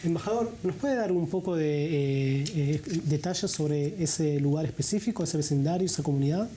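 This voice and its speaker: A woman's voice: deep voice, normal speed, mellow, slight background noise. A woman putting questions to guests as a studio interviewer. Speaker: interviewer, co-host.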